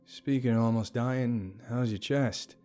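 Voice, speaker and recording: A man's voice: mid-range voice, a little slow, mellow, faint music behind, close to an everyday voice. A man playing a wry, candid young man, the casual and sardonic hero of an audio story. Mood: weary.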